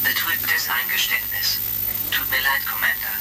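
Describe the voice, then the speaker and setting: Creaky, formal robot sidekick. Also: male voice, medium pitch, lively intonation, a little slow. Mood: prim. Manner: formal, stilted, announces each emotion before speaking. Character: polite, literal-minded combat robot sidekick, announces feelings. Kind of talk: retro sci-fi radio play acting. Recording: distant, crackly vintage radio-play record. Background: music.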